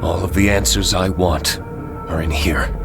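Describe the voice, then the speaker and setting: A man's voice: low voice, steady tone, measured pace, creaky. An actor playing a vengeful, brooding male detective in a video game cutscene, stern and resolute. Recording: music under the voice. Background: music.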